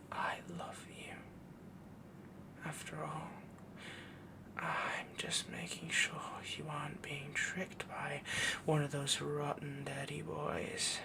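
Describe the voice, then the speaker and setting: A man playing a possessive, doting young werewolf boyfriend in a soft, breathy, half-whispered intimate roleplay audio, rambling and dominant as he coos endearments. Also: fairly high pitch, noisy background. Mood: obsessive, adoring.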